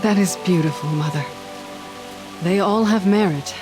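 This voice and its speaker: A woman's voice: lowish voice, normal speed, lightly acted. An actress playing a courteous, regal female admiral in a video game cutscene. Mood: gracious. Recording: music behind the voice.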